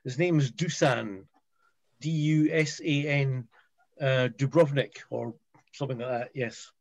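A man giving a halting, casual technical explanation on a group video call, repeating words as he goes. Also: medium pitch, fairly even intonation, darkish tone, a little hiss or hum. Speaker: participant in a hobby group chat. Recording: dull, muffled video call audio.

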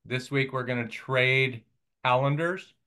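A man giving a quick, matter-of-fact update as community development director over a video call. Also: mid-range voice, pushed and bright, clear, resonant tone. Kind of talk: meeting update.

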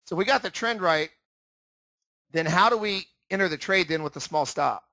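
Higher-voiced man teaching, dry close sound. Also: moderate pace, vocal fry, pushed and bright, dry recording. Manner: emphatic, repetitive explaining. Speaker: trading instructor. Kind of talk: instructional screen-share narration.

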